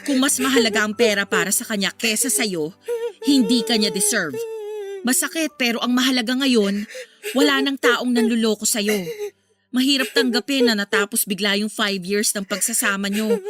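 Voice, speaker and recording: Actress as a very high-pitched, dramatic Filipina confidante. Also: female voice, normal speed, soft background music, close to an everyday voice. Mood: consoling. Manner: expressive, incredulous, emphatic. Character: protective, dramatic female confidante, easily scandalized. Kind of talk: Filipino audio drama dialogue.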